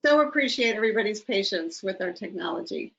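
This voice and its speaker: A woman leads an online training over a dull, lo-fi call line. Her voice carries a gravelly fry as she chats casually and apologizes for the technology. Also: medium pitch, expressive, quick pace, fairly bright tone, slight background noise. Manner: chatty, apologetic about technical trouble. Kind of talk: casual presenting to a webinar. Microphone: video call.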